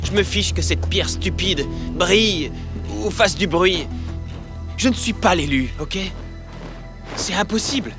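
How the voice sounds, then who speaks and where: A defiant young cartoon hero, blunt and bold. His voice is high for a male, with music clearly underneath. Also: animated, a little slow, pauses often. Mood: frustrated denial. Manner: cocky, blunt. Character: bold, defiant boy hero, leader of a team. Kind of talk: animated cartoon voice acting. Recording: loud background music.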